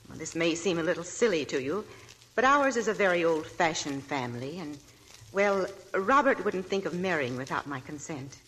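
Brief: gracious hesitant matriarch, deep and animated, 1940s radio play. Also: female voice, moderate pace, low-quality recording. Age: older. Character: anxious genteel old mother of an old family. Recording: old radio broadcast with faint noise.

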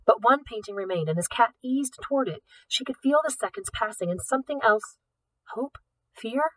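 A woman reads a novel aloud with expressive, scripted delivery. Her voice sits at a middle pitch. She sounds slightly off the microphone, in a room with little echo. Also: distant mic. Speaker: audiobook reader. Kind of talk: expressive scripted storybook narration.

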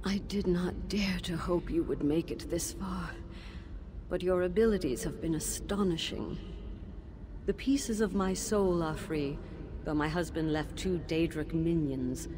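A woman voicing the shade of an elven mage teacher, regal and sorrowful, who turns stern and shrill when she scolds. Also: noisy background, natural voice. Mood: solemn, grateful. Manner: solemn, measured and regal; shrill scolding in memories. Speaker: video game character. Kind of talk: game cutscene voice acting. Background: game music.

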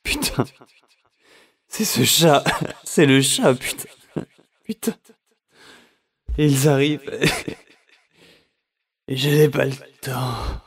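A man's voice: mid-range voice, breathy edge, exaggerated voice. An actor in a French audio drama playing a distraught, confused man who mutters to himself and swears. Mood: panicked, pained. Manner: halting, muttered, with nervous laughs and swearing.

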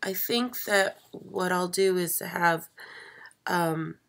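Hoarse woman with a gravelly, slow voice. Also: lowish voice. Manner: slow, hesitant, many fillers, soft. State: losing her voice, sick. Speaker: craft channel host. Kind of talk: live stream craft demo. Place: craft table indoors. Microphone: overhead camera, hands only.

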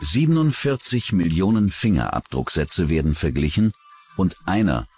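A man's voice: low-pitched, sing-song, moderate pace, darkish tone, husky, faint music behind. A man reading scripted narration for a true-crime documentary.

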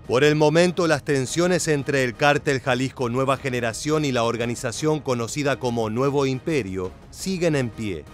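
A man giving scripted voice-over narration at a steady, measured pace. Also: medium pitch. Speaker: documentary narrator.

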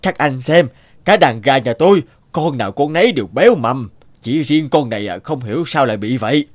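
A man's voice: medium pitch, expressive, a little fast, dry recording, close to an everyday voice. An actor playing a proud, prejudiced middle-aged chicken farmer in a cartoon folktale, self-important and given to hesitating as he haggles. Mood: worried.

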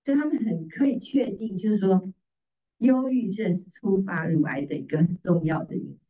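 A woman's voice: mid-range voice, normal speed. A middle-aged woman preacher giving a teaching sermon, repeating phrases and posing rhetorical questions. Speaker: preacher, pastor's wife. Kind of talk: sermon teaching in Mandarin with slides. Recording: distant mic, slightly muffled sound.

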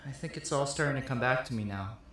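A man's voice: medium pitch, quick pace, fairly bright tone, lightly acted. An actor playing a confused, anguished young man who has just died, questioning and plaintive in a stage-style drama, in an echoey room. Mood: subdued, wistful. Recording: very echoey room, faint noise.

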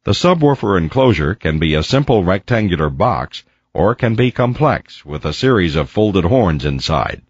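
Deep-voiced male narrator, slightly dull recording. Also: very animated, breathy edge, no room sound. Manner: measured, precise, didactic. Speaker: instructional video narrator. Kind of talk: scripted educational narration. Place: close, dry voice-over recording.

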